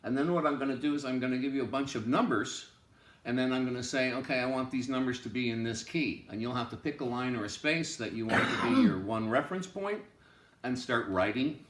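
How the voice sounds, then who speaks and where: A man's voice: mid-range voice, fairly quick, a bit bright, slight background noise. A man giving an informal music lesson as a teacher, explaining emphatically and repeating himself for stress. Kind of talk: informal instructional lecture. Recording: roomy, echoing room sound.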